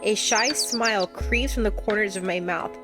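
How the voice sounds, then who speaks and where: A woman reads a visual novel aloud in an expressive, conversational way, like a streamer talking to viewers. Her pitch is average, and loud game music plays behind her. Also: normal speed. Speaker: gaming streamer, let's player. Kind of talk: reading visual novel aloud with casual reactions.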